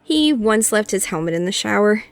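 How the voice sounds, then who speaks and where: A woman playing a poised, gently teasing cartoon pony princess, composed and reassuring. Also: medium pitch, lively intonation, moderate pace, dry recording, natural voice. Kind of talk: scripted audio drama acting.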